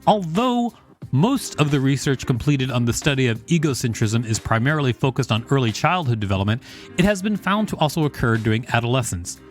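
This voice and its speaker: A man hosting a podcast, reading an encyclopedia article aloud. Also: mid-range voice. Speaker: podcast host.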